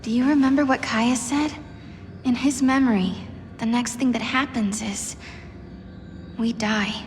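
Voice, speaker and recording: Earnest young heroine, acted by a woman, high voice, game cutscene in reverb with light music. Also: fairly even intonation, a little slow, slight background noise, natural voice. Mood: pensive. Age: young adult. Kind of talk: video game cutscene acting.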